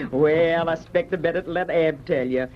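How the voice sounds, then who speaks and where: A woman acting a chatty, excitable frontier wife in an old-time radio drama, with folksy exclamations. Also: deep voice. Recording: muffled, noisy old-time radio recording.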